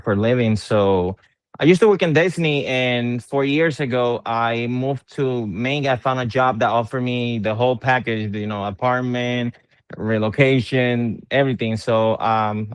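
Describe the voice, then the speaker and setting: Middle-aged puerto rican guy chatting on a call, smooth voice, lots of ums and restarts, dry close sound. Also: mid-range voice, moderate pace, no room sound. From Puerto Rico. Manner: hesitant, many fillers and repeated words. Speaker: interviewed musician. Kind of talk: casual interview conversation.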